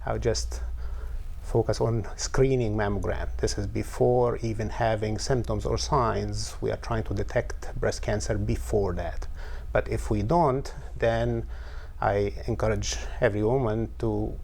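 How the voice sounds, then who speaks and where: A man's voice: fairly low pitch, steady tone, a little slow, creaky, darkish tone, a little hiss or hum. A middle-aged male oncologist from Egypt, explaining steadily in an interview with occasional fillers.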